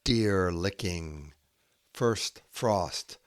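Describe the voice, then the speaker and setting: Male poetry host, unhurried and deliberate, lowish voice, long pauses between short phrases. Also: smooth, dry recording. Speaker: podcast host reading poetry. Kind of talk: measured poetry reading and scripted narration.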